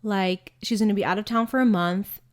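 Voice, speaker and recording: A woman's voice: medium pitch, steady tone, fairly quick. A woman in her twenties co-hosting a podcast, chatting casually and saying "like" often. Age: 20s. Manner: chatty, fills speech with "like". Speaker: podcast co-host. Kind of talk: casual chat.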